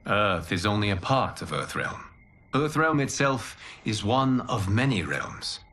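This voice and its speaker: An actor playing a calm fire god and mentor in a game cinematic, speaking in a formal, measured, authoritative way. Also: male voice, lowish voice, slight background noise. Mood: explaining gravely. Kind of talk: video game cinematic acting.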